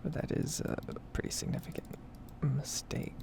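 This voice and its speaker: A woman softly whispering a casual, rambling ASMR commentary over a game. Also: moderate pace, noisy background. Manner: soft-spoken, rambling, casual asides. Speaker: gaming video creator.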